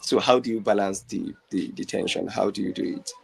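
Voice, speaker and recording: A man's voice: fairly low pitch, brisk, creaky, a little hiss or hum. A man interviewing a guest, asking his questions hesitantly with many restarts.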